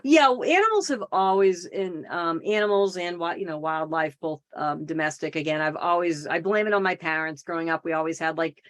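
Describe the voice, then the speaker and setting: A woman's voice: on the low side, brisk, hardly any pauses. A middle-aged woman from the Boston area, talking as a podcast guest and telling stories in a chatty way, with self-deprecating humor and lots of fillers. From Boston area, Massachusetts. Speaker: podcast guest, wildlife advocate. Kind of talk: casual conversational interview.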